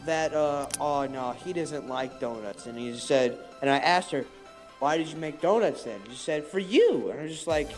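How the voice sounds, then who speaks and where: A man's voice: on the high side, moderate pace, faint music behind. A teenage boy, a podcast co-host, rambling through a long story with lots of "I was just like" asides. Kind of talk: casual chat, telling a long story.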